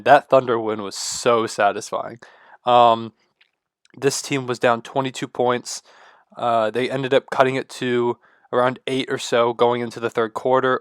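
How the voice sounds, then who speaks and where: A man with a stuffy, congested voice and low energy, rambling excitedly to the camera at home. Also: smooth, no room sound, studio quality. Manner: loose, rambling, excited fan talk with many fillers. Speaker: sports vlogger. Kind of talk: casual rambling talk to camera.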